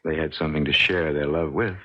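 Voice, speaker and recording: A man acting in an American 1970s radio drama as a strange, grieving older man, telling things slowly and deliberately with folksy certainty. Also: very deep voice, steady tone, dull audio. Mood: earnest. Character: strange, grieving older man guarding a dead sweetheart's memory.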